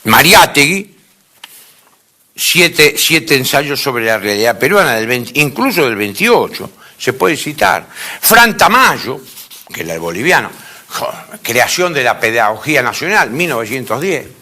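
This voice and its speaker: Animated Argentine man lecturing, bright voice. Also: mid-range voice, measured pace. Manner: emphatic, repeats phrases for stress. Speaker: lecturer in philosophy. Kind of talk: informal academic lecture.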